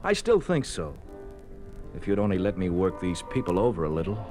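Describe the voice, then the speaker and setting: An actor playing a brutish, scornful communist police henchman with rough, slangy tough talk in a 1950s radio drama. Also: deep voice, very animated, fairly quick. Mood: grumbling, scornful. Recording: old radio broadcast recording with music.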